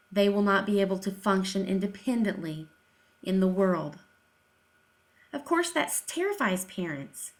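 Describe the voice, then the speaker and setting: Female commentator, mid-range voice. Emphatic and informal, with pointed sarcasm and rhetorical questions. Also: medium pitch. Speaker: video commentator, deaf-education advocate.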